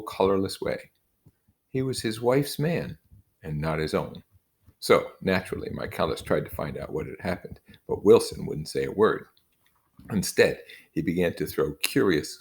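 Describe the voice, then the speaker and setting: Male book reader, low-pitched, vocal fry, measured narration, emphatic phrasing, informal. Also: a little mellow. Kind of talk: reading a novel aloud with informal comments.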